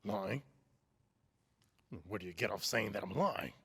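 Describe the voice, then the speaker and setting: Man playing a gravelly, shifty, nervous neighbour. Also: lowish voice, lively intonation, fairly bright tone, heavily acted. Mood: defensive, pleading. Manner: halting, evasive, defensive. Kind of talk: old-time radio drama acting. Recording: distant, long hall reverb.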